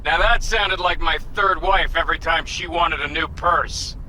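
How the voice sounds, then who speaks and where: A high, sharp-voiced flamboyant fixer. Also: male voice, moderate pace, a little hiss or hum, low-quality recording. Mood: chatty, colourful. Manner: theatrical, garrulous, slangy. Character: flamboyant, wheeling-dealing underworld fixer man. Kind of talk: animated video game acting.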